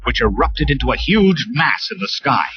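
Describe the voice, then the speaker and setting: A man narrating a storybook read-along with dramatic, expressive delivery. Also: mid-range voice, moderate pace. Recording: lo-fi cassette with loud hiss.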